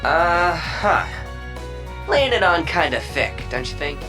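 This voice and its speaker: An actor playing an irreverent young schemer in a video game, casual and sarcastic. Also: male voice, fairly high pitch, very animated, takes it slowly, over music. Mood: sardonic. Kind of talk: English video game dub acting.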